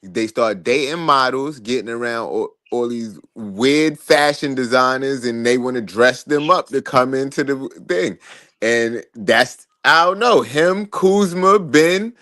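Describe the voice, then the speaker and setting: A man's voice: medium pitch, a little slow, a bit bright, no echo. A man co-hosting a basketball podcast, chatting casually, teasing the others and cutting in to make his point. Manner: teasing, playful, talks over others. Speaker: podcast co-host. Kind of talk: casual sports banter.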